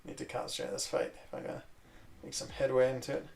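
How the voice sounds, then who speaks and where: A man who grew up in Switzerland, chatting casually and rambling while he plays a video game, breaking off into quick exclamations. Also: medium pitch, completely flat delivery, gravelly, darkish tone. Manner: rambling, self-interrupting chatter with fillers and sudden exclamations. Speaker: gaming streamer.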